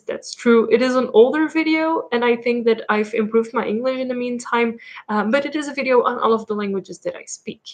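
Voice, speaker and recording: A young woman from Belgium with a Flemish Dutch accent, chatting casually while answering viewer questions on her livestream, with many ums and likes. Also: mid-range voice. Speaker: livestream host. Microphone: laptop mic.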